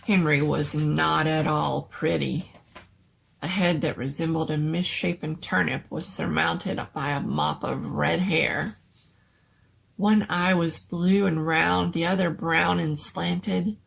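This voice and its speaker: A woman's voice: low voice, steady tone, slow, gravelly, a little hiss or hum. A woman narrating a scary story as a dramatic reading, in a scripted storytelling style.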